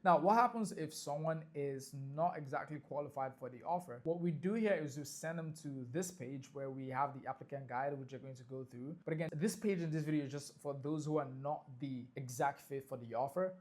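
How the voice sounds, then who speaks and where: A young man with a Nigerian accent and a non-native English speaker, walking through a sales funnel in a fast, emphatic, salesy tutorial with lots of fillers. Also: on the high side. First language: not English. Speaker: funnel builder and agency owner. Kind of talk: screen-share walkthrough tutorial.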